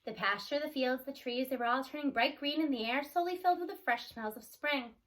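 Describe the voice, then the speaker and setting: A woman's voice: sits high, brisk, fairly bright tone. A woman narrating a children's storybook read-aloud.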